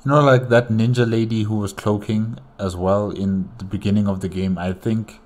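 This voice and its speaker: A man's voice: fairly low pitch, level tone, normal speed, a little mellow. A man giving casual, rambling reaction commentary, thinking aloud as he goes. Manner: rambling, repeats "you know", thinking aloud. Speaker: reactor commenting on a video game movie.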